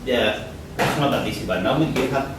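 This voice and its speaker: A man's voice: medium pitch, lively intonation, a little fast. Spanish-accented man in an echoey hall. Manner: casual, repeats and restarts words, rambling asides. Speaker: conference speaker. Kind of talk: conference talk. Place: lecture hall. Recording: echoey hall from far off, noisy.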